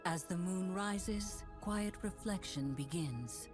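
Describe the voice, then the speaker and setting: An actress playing a solemn, resolute moon warrior woman in a video game, speaking in a measured, grave, poetic way. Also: lowish voice, animated, over music. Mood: brooding, calm.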